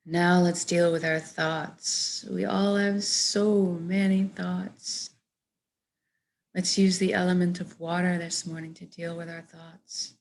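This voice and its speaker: A woman leading a guided meditation, speaking in a gentle, measured, soft way as she gives soothing instructions. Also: fairly low pitch, little pitch movement, gravelly, slight background noise.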